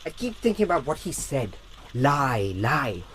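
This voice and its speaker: An actor with an Indian accent playing an earnest, dryly sarcastic young assistant to a detective in a radio drama. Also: male voice, medium pitch, sing-song, measured pace. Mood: suspicious.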